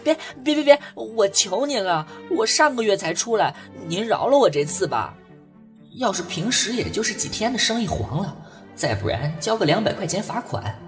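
A woman's voice: medium pitch, sing-song, normal speed, faint music behind, close to an everyday voice. A woman voicing a streetwise, protective, hot-tempered young man in an audio drama, blunt and emotional. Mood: pleading. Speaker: voice actor.

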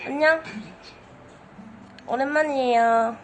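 A woman's voice: high-pitched, takes it slowly. A woman in her late teens with a cold, chatting casually with livestream viewers in short, cutesy replies. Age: teens, says eighteen. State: has a cold. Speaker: livestream host. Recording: noisy livestream microphone.

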